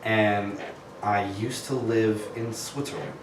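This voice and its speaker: Young man, lowish voice, slow unhurried talk, loud background noise, echoey room. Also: steady tone, far from the mic. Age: young adult. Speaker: club podcast co-host. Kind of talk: casual chat.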